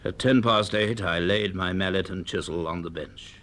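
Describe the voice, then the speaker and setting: Measured, low-voiced British professor. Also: male voice, fairly bright tone. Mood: grave, absorbed. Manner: deliberate, formal, literary. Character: measured, cautious male university professor. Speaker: actor and narrator in a radio drama. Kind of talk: radio drama acting and narration. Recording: noisy archive radio drama recording.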